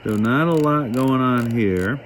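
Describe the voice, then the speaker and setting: A man giving a casual hands-on radio demonstration as host, thinking aloud in a halting way. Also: mid-range voice, slight background noise. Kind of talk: casual hands-on demonstration, talking while working.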